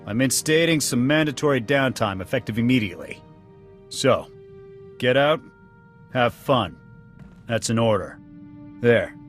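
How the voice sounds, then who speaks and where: An actor playing a gruff, proud military officer in a video game, terse and clipped in delivery. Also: male voice, medium pitch, expressive, unhurried, long pauses, lightly acted. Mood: wry, commanding. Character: gruff, proud male military sniper officer, loyal but hot-tempered. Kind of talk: video game dialogue acting. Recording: music behind the voice.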